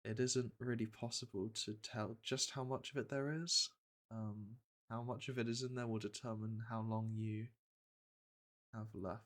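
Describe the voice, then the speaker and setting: A man playing a gentle veteran monster hunter in a fantasy ASMR roleplay, speaking softly and reassuringly, with a hesitant, matter-of-fact manner. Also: on the low side, little pitch movement, normal speed, lightly acted. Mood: explaining, sober. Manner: soft, hesitant, soothing delivery.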